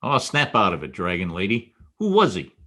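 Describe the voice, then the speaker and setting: An actor playing a hard-boiled, wisecracking private detective in a 1940s-style radio drama, with a wry, clipped delivery. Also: male voice, lowish voice, huge pitch swings, moderate pace, pushed and bright, full character voice. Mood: flippant, tough.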